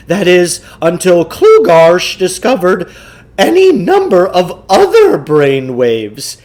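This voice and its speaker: A man reading a children's novel, voicing a pompous, theatrical, eccentric salesman who lectures with deliberate self-importance. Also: high-pitched, darkish tone, heavily acted. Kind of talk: children's novel read aloud in character.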